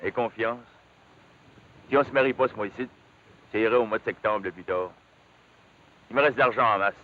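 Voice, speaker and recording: Casting: man playing rough, ardent backwoods logger, Quebec French accent, lowish voice, period TV drama, phone-thin old soundtrack. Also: moderate pace, natural voice. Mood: defensive. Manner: rustic, colloquial, earnest. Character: Alexis, rough, ardent rural logger who drinks. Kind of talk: 1950s television period drama acting. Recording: muffled, tinny 1950s television soundtrack.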